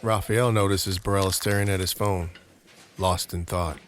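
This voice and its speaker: A man's voice: low-pitched, fairly even intonation, a little slow, a little hiss or hum. A man giving scripted narration for an audio drama, describing each scene.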